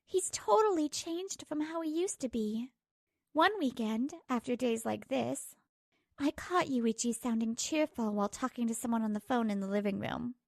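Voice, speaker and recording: A woman's voice: on the high side, lively intonation, moderate pace, vocal fry, darkish tone, dry recording, clean studio sound. A woman playing a hurt, betrayed young wife who narrates her troubles emotively in a manga dub. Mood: downcast, wistful. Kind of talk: dramatised first-person manga dub narration.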